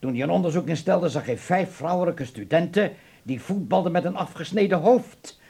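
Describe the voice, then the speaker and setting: Creaky, high-voiced sombre man. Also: animated, moderate pace, warm tone, exaggerated voice. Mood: grave, disgusted. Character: sombre family man reading the newspaper aloud. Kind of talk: radio drama acting, reading aloud.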